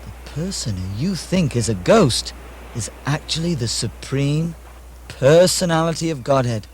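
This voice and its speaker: Puppet-show exorcist, played by a man: fairly high male voice, calm, measured, reassuring, slight background noise. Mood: calm, instructive. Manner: measured, soothing, instructive. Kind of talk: theatrical puppet-show acting.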